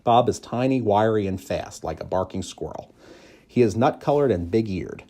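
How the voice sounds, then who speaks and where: Man, lowish pitch, dark mellow tone, expressive storybook narration, measured phrasing. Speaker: audiobook reader, school staff member.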